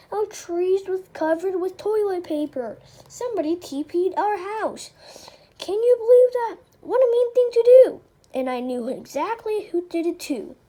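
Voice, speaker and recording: High-pitched voice reading a children's book, female. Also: mellow. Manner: stumbles over words and rereads phrases. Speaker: read-aloud reader. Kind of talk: children's chapter book read-aloud.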